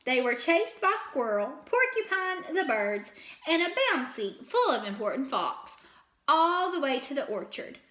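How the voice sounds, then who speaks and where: A woman reading a children's story aloud like a teacher, with dramatic, expressive storytelling. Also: high-pitched, measured pace, roomy sound.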